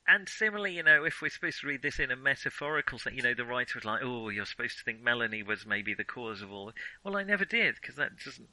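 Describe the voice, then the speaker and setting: A man's voice: medium pitch, lively intonation, quick pace, hardly any pauses, bright, forceful tone, no echo, low-quality recording. A man co-hosting a film podcast, talking casually and digressively with firm opinions.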